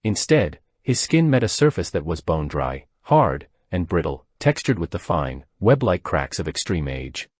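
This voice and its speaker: Very deep-voiced man narrating horror. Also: very animated, moderate pace, few pauses, darkish tone, breathy edge, dry recording, clean studio sound. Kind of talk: scripted narration of a slow-burn horror story.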